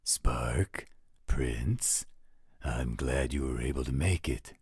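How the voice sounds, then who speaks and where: A man voicing a gruff but good-natured male werewolf in a fantasy audio roleplay, with a raspy voice and a casual, slightly hesitant manner. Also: extremely low pitch, slow pace, darkish tone, no echo, studio quality, heavily acted. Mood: friendly. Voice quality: raspy, gravelly.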